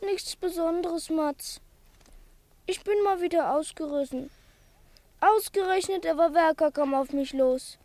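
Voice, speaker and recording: A woman's voice: very high voice, measured pace, darkish tone, dry recording. A woman playing a timid small schoolboy who is ashamed of his cowardice, in 1950s radio play acting with a plaintive, self-doubting manner. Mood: rueful. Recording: dry studio recording.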